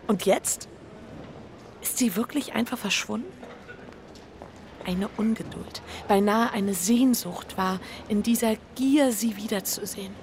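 An actress playing an anguished, guilt-ridden bourgeois wife in a radio drama, speaking with breathy, introspective intensity. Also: female voice, mid-range voice, a little hiss or hum, lightly acted. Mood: brooding, uneasy. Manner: intense, introspective, pleading, halting repetitions. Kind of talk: German radio drama, intimate monologue and confession.

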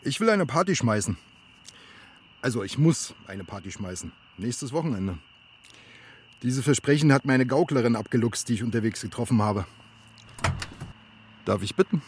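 A man's voice: mid-range voice, lively intonation, normal speed, long pauses, a little hiss or hum, lightly acted. An actor playing a jovial middle-aged fairground father in a children's radio play. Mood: jovial, playful.

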